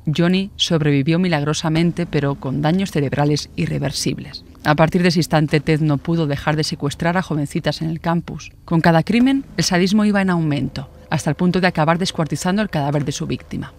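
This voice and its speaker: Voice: mid-range voice, fairly quick, slight background noise. A narrator reading a scripted true-crime story.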